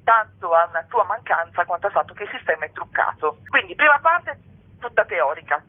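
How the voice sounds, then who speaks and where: A woman's voice: lowish voice, sing-song, fairly quick, slightly rough, phone-quality sound. A woman speaking as an interviewed author, explaining politics in an articulate, argumentative way with ironic asides. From Italy.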